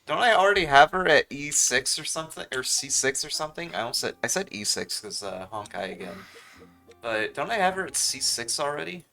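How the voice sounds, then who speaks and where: A man's voice: a little high, expressive, fairly quick, sharp tone. A young man giving casual livestream commentary as he plays a game. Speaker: game streamer.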